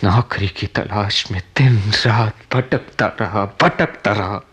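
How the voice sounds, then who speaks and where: An actor playing a bitter, troubled young man in a Hindi radio play, with a halting, dramatic delivery that repeats phrases. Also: on the low side, fairly bright tone, slightly rough, full character voice. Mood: sorrowful, confessional. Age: young adult.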